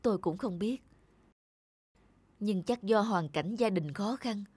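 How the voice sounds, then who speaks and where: A woman voicing a hardworking, worried mother in a cartoon, speaking softly in a subdued, regretful way. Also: medium pitch, takes it slowly, close to an everyday voice. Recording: clear background music.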